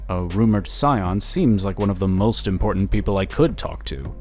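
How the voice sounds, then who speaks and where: An actor playing a slick, smug lobbyist in a sci-fi audio drama, chatty and condescending. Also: male voice, low-pitched, lively intonation, moderate pace, a little mellow, soft background music. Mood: smug, intrigued. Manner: chatty, smooth-talking, condescending. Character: smug, slick, scheming male political lobbyist.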